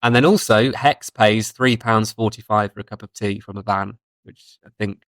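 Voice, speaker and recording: A man's voice: on the low side, fairly even intonation, normal speed, a bit bright, no room sound. A man in his thirties chatting casually and giving his opinions as a podcast guest. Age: 30s.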